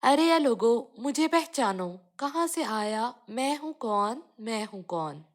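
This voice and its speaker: A woman voicing a moralizing village constable in a children's cartoon story, speaking in a measured, preachy manner. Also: on the high side, lively intonation. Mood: singsong.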